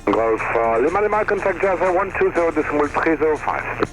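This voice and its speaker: A man's voice: on the high side, very animated, brisk, soft background music, poor audio. A man with a French accent giving controller instructions over an aviation radio. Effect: aviation radio transmission. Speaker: air traffic controller. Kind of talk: radio procedure talk.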